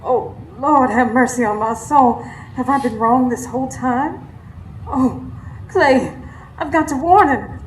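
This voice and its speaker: A woman's voice: sits high, measured pace. A woman playing a sassy, superstitious Southern woman in a live radio drama, narrating her own confrontation dramatically. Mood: horrified. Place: studio before live audience. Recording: roomy live stage, faint noise.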